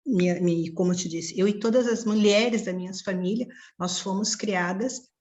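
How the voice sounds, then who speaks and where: A woman's voice: medium pitch, moderate pace, darkish tone. A Brazilian woman taking part in a casual group discussion, speaking earnestly with some hesitations. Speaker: study group participant.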